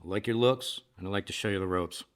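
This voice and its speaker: A man's voice: lowish voice, fast, natural voice. A man acting a powerful, rich businessman in a comedic radio drama, smug, blunt and flirtatious. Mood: suave, flirtatious. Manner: blunt, self-assured, flirtatious.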